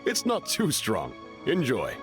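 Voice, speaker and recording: A man's voice: mid-range voice, wildly swooping pitch, takes it slowly, music in the background. A man playing a busy, obliging restaurant chef in a fantasy video game, polite and easygoing. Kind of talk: video game character acting.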